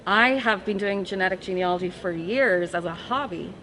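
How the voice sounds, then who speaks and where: A woman in her thirties with an Irish accent, a professor talking chattily and warmly as an interview guest, laughing often. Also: mid-range voice, moderate pace, poor audio. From Ireland. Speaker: forensic science professor, guest. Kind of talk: casual interview chat.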